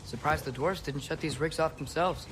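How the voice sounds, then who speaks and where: An actor playing a curious, eager teenage son in a video game. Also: male voice, on the high side, normal speed, close to an everyday voice. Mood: questioning. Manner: inquisitive, youthful. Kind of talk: naturalistic video game dialogue. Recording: game ambience noise behind voice.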